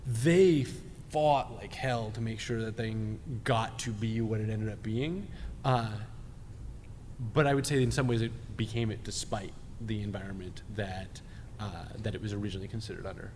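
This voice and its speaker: A man's voice: on the low side, fairly even intonation, normal speed, darkish tone. Male panel guest in a reverberant, noisy hall. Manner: argumentative, checks in with "right?". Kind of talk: live panel discussion. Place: large convention room. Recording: echoey hall, clearly noisy.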